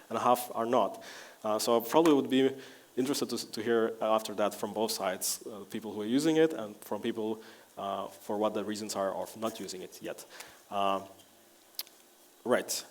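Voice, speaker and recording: Non-native male presenter, Lithuanian first language, lower register, relaxed tech demo delivery full of fillers. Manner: frequent "uh" fillers, restarts, relaxed and wry. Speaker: conference presenter. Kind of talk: technical conference talk with live demo. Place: conference hall.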